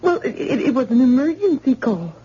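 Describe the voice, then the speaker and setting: An actress playing a frightened young wife. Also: female voice, high-pitched, big pitch swings, brisk, warm tone, slight background noise. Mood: anxious. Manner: anxious, pleading, tearful. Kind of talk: old-time radio drama acting. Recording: dull lo-fi old radio recording.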